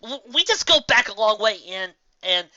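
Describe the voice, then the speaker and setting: A man's voice: high-pitched, very animated, moderate pace, sharp tone, no room sound, dull audio, pitched above the performer's own voice, full character voice. Man putting on a brash, blurting pegasus girl. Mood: flustered, defensive. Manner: stammering, blurting. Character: brash, defensive female pegasus with a hot temper. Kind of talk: fan fiction storybook reading.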